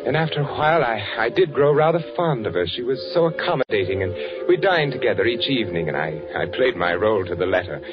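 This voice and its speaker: An actor playing an anxious, secretive man posing as a German traveler, in halting, self-questioning 1940s radio suspense style. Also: medium pitch, expressive, close to an everyday voice. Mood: brooding narration. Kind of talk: 1940s radio suspense acting, confessional first-person narration and dialogue. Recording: muffled old 1940s radio recording with music.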